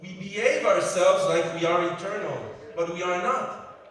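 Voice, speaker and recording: A man in his fifties with a French Canadian accent gives a conference keynote, his voice pitched fairly high for a man. He speaks with emphasis in short, repeated phrases, since French is his first language. He stands back from the mic, and the large hall echoes heavily around him. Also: normal speed, a bit bright, distant mic. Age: 50s. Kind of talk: conference speech.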